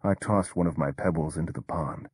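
A man narrating a story in the first person with a measured, understated delivery. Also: low voice, slightly rough, no echo.

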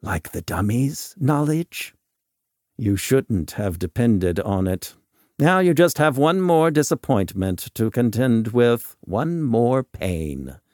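Eccentric shadowy doctor, played by a male reader: formal, coolly mocking, theatrical, highly animated intonation, dry close sound. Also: slow, dry recording. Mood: dismissive. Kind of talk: audiobook character dialogue.

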